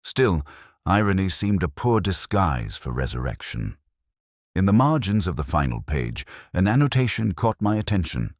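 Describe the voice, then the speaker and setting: A man narrating a scripted literary detective story as a melancholy, erudite police inspector, in a measured, solemn and reflective manner. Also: deep voice, very animated, dry recording, studio quality.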